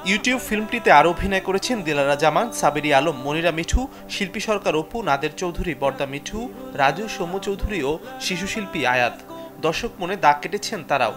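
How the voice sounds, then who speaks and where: A man's voice: fairly high pitch, animated, moderate pace. A man reading a scripted entertainment news report as a television reporter. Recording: background music under voice-over. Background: music.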